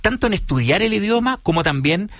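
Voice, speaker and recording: A male interviewer with a slightly raised pitch. He asks his question in a formal, measured way. The recording is dry, with no room echo. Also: lively intonation, a bit bright.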